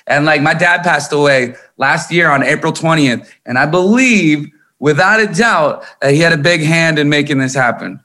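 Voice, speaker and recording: A man in his thirties from Minnesota, a musician talking candidly and emphatically in an interview, swearing freely. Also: a little high. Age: 30s. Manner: animated, emphatic, swears often. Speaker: guest musician.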